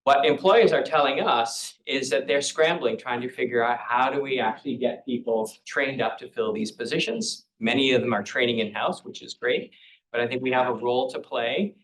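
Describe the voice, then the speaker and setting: A man speaks on a panel like a professor giving a lecture. He is earnest and emphatic, and his voice sits at an ordinary pitch for a man. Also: moderate pace, fairly bright tone, a little hiss or hum, rough recording. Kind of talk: panel talk.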